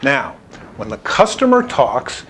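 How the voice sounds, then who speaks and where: A man presenting a scripted product overview in an instructional, emphatic, clearly structured way. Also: mid-range voice, wildly swooping pitch, takes it slowly, fairly bright tone, slight background noise. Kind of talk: scripted explainer narration to camera.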